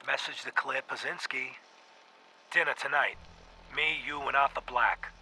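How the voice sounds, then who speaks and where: An actor playing a cold, smooth-talking male mob boss, dictating recorded messages in a video game. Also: medium pitch, unhurried, natural voice. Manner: calm, sardonic gangster drawl. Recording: noisy game audio capture.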